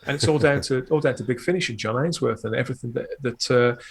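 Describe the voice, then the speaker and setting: A man's voice: medium pitch, quick pace, gravelly, slightly rough. A man chatting enthusiastically in an interview as a podcast host and producer, explaining things at length. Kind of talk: conversational interview talk.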